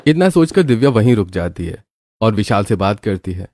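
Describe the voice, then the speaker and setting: A man's voice: on the low side, very animated, fairly quick, darkish tone. A man narrating a scripted moral story as a storyteller.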